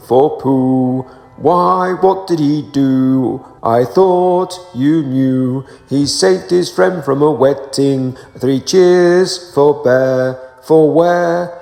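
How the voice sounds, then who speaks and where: A man voicing a simple, good-natured storybook bear chanting to himself in an anxious, drawn-out sing-song. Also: a little high, gravelly, mellow, voice pushed up, full character voice. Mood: anxious, muddled. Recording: roomy echo, long reverb, faint music.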